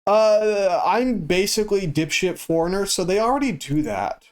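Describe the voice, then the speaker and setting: A man streaming a game who chats casually in a flat, stoic way and swears freely. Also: sits high, moderate pace. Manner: monotone and stoic delivery. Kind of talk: casual chat while gaming.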